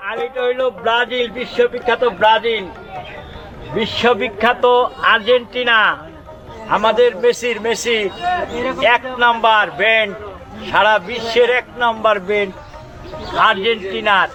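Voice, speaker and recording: Male market seller, high voice with little variation, Bangladeshi accent, boastful repetitive informal pitch. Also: little pitch movement, moderate pace, long pauses, over music. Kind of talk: informal sales talk.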